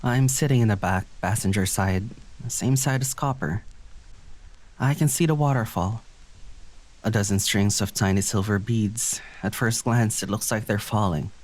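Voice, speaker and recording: A man's voice: fairly low pitch, moderate pace, darkish tone, close to an everyday voice. Man playing a withdrawn, weary poet. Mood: wistful, introspective. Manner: hesitant, introspective. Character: Glass, weary withdrawn poet in a creative slump. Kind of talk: audio drama dialogue and introspective narration.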